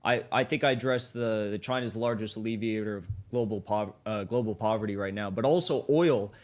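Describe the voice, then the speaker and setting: A man's voice: lowish voice, brisk. A man as a livestream guest, arguing politics in a hesitant way that restarts phrases often.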